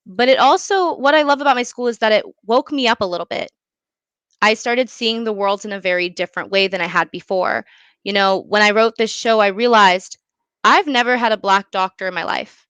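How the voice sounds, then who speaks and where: A woman's voice: mid-range voice, brisk, fairly bright tone, smooth, dry recording. A young woman speaking as an interview guest, earnest and effusive about her own work. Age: young adult. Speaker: guest, student playwright. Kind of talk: enthusiastic interview talk.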